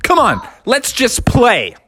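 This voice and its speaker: A woman voicing an excitable little boy frog who talks in an earnest, insistent way. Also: on the high side, slow pace, slight background noise, voice pushed up, heavily acted. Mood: eager.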